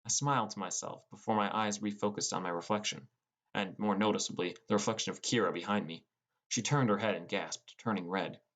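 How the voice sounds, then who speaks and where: A man reading scripted first-person fantasy fiction as an audiobook narrator. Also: on the low side.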